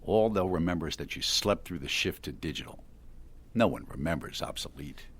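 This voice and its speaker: Deep, husky, hard-nosed dealmaker. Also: male voice, animated, fairly quick, fairly bright tone, exaggerated voice. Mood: scornful. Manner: blunt, condescending, controlled. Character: cool, hard-nosed younger male tech dealmaker. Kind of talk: audio drama acting.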